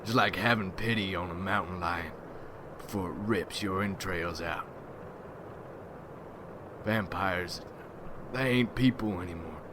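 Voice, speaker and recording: A man acts out a gruff, brooding leader of a vampire hunters' guild in an ASMR roleplay. His voice is low and he takes his time, rough-spoken and folksy, wary and ruthless. Noticeable background ambience runs behind him. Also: measured pace, long pauses, background noise. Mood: grim.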